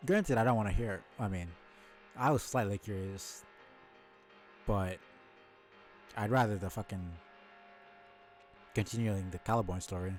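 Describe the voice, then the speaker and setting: A man's voice: on the low side, a little slow, pauses often, soft background music. A man streaming a voiced webcomic reading, giving blunt, sweary and opinionated casual commentary.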